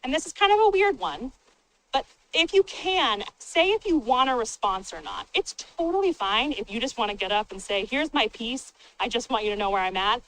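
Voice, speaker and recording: A woman on city staff presenting and moderating at a public town hall meeting, speaking informally and plainly with a loud, booming voice into a handheld microphone. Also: expressive, moderate pace, a little hiss or hum. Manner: plain-spoken, informal, upbeat.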